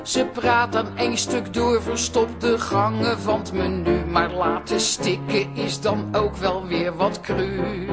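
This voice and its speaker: An actress playing a grumbling family member, singing a comic Christmas song in a radio drama. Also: female voice, fairly high pitch.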